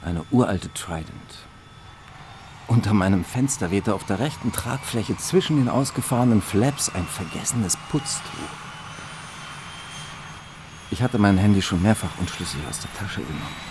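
A man's voice: fairly low pitch, expressive, moderate pace, background noise, close to an everyday voice. An actor playing a wry, melancholy police investigator in his late thirties in a German radio drama, speaking in a dry, laconic, hesitant way. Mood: dry, matter-of-fact. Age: late 30s. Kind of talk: radio drama, first-person narration and dialogue.

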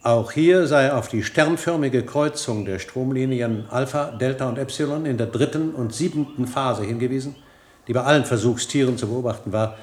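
An actor playing a confident, calculating scientist and physician in a German radio drama, brisk, clinical and assured. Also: male voice, medium pitch, close to an everyday voice.

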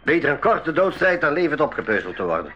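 A man's voice: on the high side, very animated, fast talker, sharp tone, dull audio, a little hiss or hum. A man playing a grim, practical rifleman in a radio drama, terse and matter-of-fact. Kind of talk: 1980s school radio drama acting.

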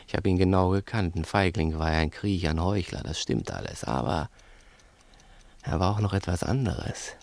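A low, gravelly male crook in a 1960s radio play. He is cunning and menacing, pressing his point with insistent, theatrical questions and talking down to his accomplice. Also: full character voice. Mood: sinister, sly.

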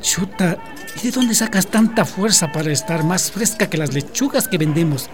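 An actor playing a shy, kindly young Ecuadorian man in a radio drama, with a gentle, hesitant manner and an Ecuadorian accent. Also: high voice, animated, moderate pace, music in the background. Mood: shy, curious.

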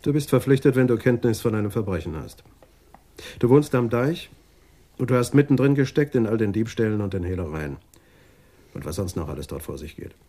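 A man's voice: lowish voice, expressive, a little fast, warm tone. A man playing a stern, methodical police adjutant in a radio crime drama, firm and matter-of-fact. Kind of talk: 1980s German radio play acting.

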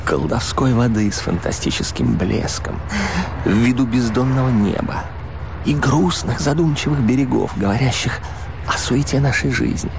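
An actor playing a brooding romantic artist in a radio drama, declaiming poetically in a rhapsodic manner. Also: male voice, mid-range voice, animated, normal speed, creaky, noisy background. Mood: dreamy, melancholy. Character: dreamy, brooding romantic artist wooing a woman.

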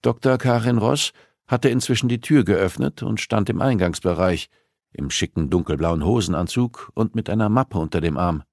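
A man's voice: fairly low pitch, normal speed, creaky, a little mellow, no room sound, clean studio sound. A man reading a novel aloud as scripted audiobook narration.

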